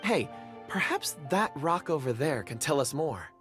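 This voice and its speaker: A man's voice: a little high, big pitch swings, unhurried, a bit bright, soft background music. A man voicing an eager, polite young male helper in a video game, modest and earnest. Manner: earnest and modest, easily delighted.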